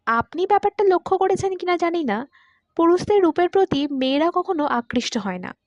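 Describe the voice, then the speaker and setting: A courteous, talkative man of about forty in an audiobook, read by a woman, confiding and wistful. The voice sits high, and the sound is dry and free of echo. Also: sing-song, fairly quick, muffled recording, heavily acted. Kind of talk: audiobook character dialogue.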